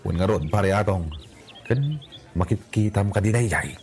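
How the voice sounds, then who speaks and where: A man's voice: fairly low pitch, moderate pace, a little mellow, faint music behind, lightly acted. A man playing a sly, freeloading village sidekick in an Ilocano radio comedy drama. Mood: sly, eager.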